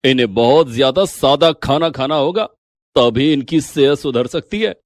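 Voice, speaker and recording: A man playing a calm, authoritative doctor in a story, speaking in a matter-of-fact, measured manner. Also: medium pitch, no room sound, clean studio sound, lightly acted. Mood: grave.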